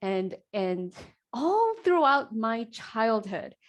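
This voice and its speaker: Upbeat woman coach, fairly high voice. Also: drawn-out words. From South Korea, raised in New Jersey. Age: 40s. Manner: energetic, conversational, frequent fillers and likes. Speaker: executive coach, host. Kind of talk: hosting an online group coaching call.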